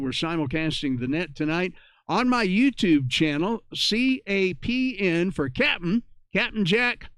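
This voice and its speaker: A man's voice: fairly high pitch, a bit bright, clear, resonant tone, no room sound. An American man hosting an amateur radio net, addressing check-ins and spelling call signs out phonetically.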